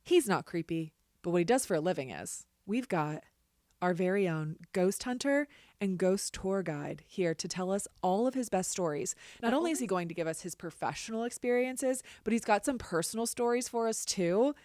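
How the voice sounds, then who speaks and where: A woman hosting a podcast, her voice hoarse from getting sick, chatting casually and laughing often. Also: mid-range voice, animated, moderate pace, a little mellow. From Ohio, US. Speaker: podcast host.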